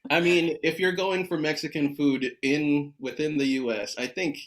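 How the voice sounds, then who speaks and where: A man's voice: fairly high pitch, fairly even intonation, moderate pace. An American man from California, a choir conductor, talking in casual conversation. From San Diego, California, United States.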